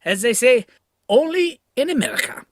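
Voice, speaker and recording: Older German scientist in a radio drama, gracious, expressive. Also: male voice, very high voice, moderate pace, background noise. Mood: courteous.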